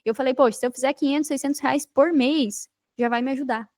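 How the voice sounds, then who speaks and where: A woman's voice: sits high, fairly even intonation, fairly quick, a little mellow, no room sound, clean studio sound. A woman with a Brazilian Portuguese accent talking as a podcast guest, telling her story emphatically with repeated phrases and rhetorical questions. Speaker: podcast guest, digital marketing entrepreneur. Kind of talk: conversational interview storytelling.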